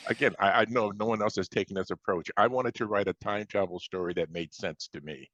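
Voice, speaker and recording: An American man from Chicago speaking as an interviewed author, explaining things conversationally in a halting way with repeated starts. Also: lowish voice, fairly bright tone. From Chicago, United States. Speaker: science fiction author being interviewed. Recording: dull, roomy lo-fi sound.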